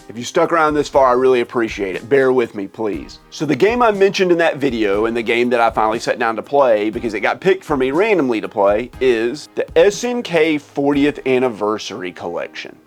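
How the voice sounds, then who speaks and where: A man hosting a gaming vlog, sick with a cold and losing his voice, ranting in an exasperated, animated way from his game room. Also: mid-range voice. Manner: exasperated, animated complaining with laughs. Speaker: gaming channel host. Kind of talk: casual vlog rant.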